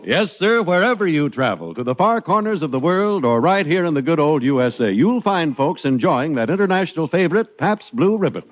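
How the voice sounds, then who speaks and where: A man's voice: on the high side, big pitch swings, brisk, hardly any pauses. An American man announcing a sponsor's message in 1940s radio style, emphatic and salesmanlike. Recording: muffled old radio, loud music.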